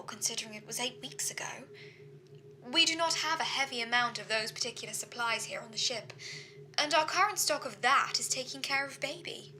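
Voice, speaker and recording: A woman playing a courteous, dutiful shipboard AI in a science fiction audio drama, speaking in formal, measured reports. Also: high-pitched, pushed and bright, rough recording. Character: female ship's computer AI, precise, dutiful, courteous. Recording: faint background noise.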